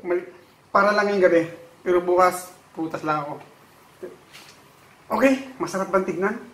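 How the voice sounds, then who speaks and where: A man in his forties with a Filipino accent, hosting a home vlog and software tutorial, chatty and halting, mixing languages. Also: high voice. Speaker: channel host and software tutor. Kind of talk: casual vlog chat and screen tutorial. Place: small room at home, indoors.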